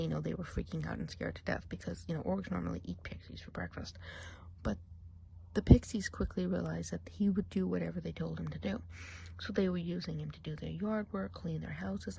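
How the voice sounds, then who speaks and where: A woman plays a chatty witch of the woods, trading gossip in a low, gravelly hush that drifts between soft talk and whispers. Her tone is mellow and dark, with a faint hiss of noise behind her in this ASMR roleplay. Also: low-quality recording.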